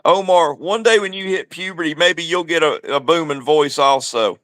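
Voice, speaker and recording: Taunting high-voiced man, dry sound. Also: moderate pace, fairly bright tone, no room sound. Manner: taunting, repeats the host's name. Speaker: caller on a livestream. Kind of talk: heated political argument.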